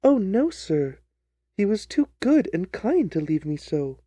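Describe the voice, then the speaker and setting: A man's voice: sits high, sing-song, a little slow, dark tone, no room sound, heavily acted. A man voicing an earnest, trusting, distressed young jilted bride in a dramatised story reading. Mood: loyal, troubled. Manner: earnest, wordy, pleading.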